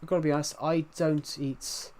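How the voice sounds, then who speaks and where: A man's voice: on the high side, flat delivery, moderate pace, a little mellow. A young man from the UK with a thick regional British accent, chatting casually and rambling with his live stream viewers. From United Kingdom. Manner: rambling, many restarts, chatty and candid.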